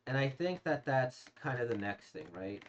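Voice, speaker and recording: A hoarse, slightly congested man casually reacting to manga he has read, rambling with many fillers and restarts. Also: medium pitch, animated, normal speed, a little hiss or hum. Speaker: manga reader and reviewer. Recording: dull lo-fi sound.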